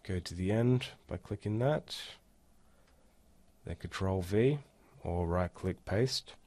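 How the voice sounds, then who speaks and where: A man giving a casual, step-by-step software walkthrough as an instructor. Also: low-pitched, slow, no echo. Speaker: tutorial instructor. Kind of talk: screen-recorded software tutorial, casual walkthrough.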